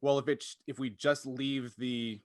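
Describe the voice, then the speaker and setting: A man's voice: medium pitch, fairly even intonation, measured pace, no room sound, clean studio sound. A man co-hosting a casual podcast, thinking aloud reflectively as he explains things at length. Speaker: podcast co-host and tabletop game designer.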